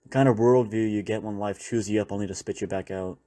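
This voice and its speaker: A man's voice: on the low side, fairly even intonation, fast, mellow, close to an everyday voice. A man playing a weary former doctor surviving a zombie apocalypse, talking in a reflective, conversational way to a trusted partner in an intimate audio roleplay. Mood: wistful, reflective. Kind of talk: intimate audio roleplay, one-sided dialogue.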